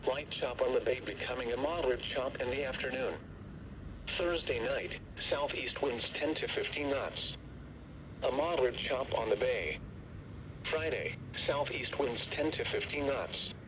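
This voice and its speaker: A man's voice: mid-range voice, animated, normal speed. Synthetic male weather radio voice, noisy and dull. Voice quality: synthetic text-to-speech voice. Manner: formal, impersonal, segmented delivery. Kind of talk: automated weather forecast reading.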